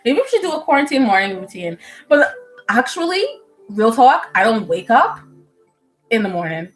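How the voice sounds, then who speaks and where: A young woman from the Bahamas, a medical student, chatting casually with viewers on a livestream at home, animated and full of fillers and laughs. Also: fairly high pitch, moderate pace, low-quality recording. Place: indoors at home. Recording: soft music playing behind.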